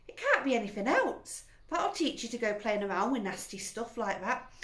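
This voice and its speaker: A woman reading a storybook aloud, voicing a bossy middle-aged mother who scolds loudly. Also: on the high side, very animated, normal speed, roomy sound, voice pushed up. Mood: scolding. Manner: loud, scolding.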